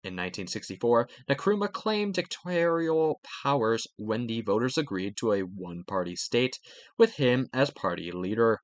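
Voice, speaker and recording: A man reads a history textbook aloud in a lively, rising-and-falling voice, with an ordinary mid-range pitch. He sometimes trips and restarts a phrase, then turns to the student with a quick aside. The sound is close and dry, with no room echo. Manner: occasional stumbles and restarts. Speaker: textbook reader.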